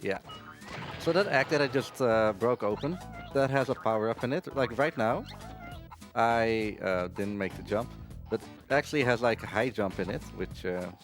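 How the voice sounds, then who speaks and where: Male speedrunner, casual live play commentary, mid-pitched, game music underneath. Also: normal speed.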